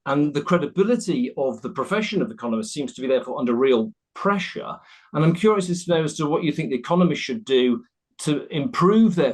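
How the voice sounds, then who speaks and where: A man putting a blunt, sceptical question to a presenter in an online meeting. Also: medium pitch. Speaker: audience member asking a question.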